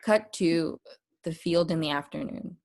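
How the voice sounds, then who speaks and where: Woman with a really low voice narrating scene directions from a script. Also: a little slow, darkish tone. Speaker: stage-direction reader.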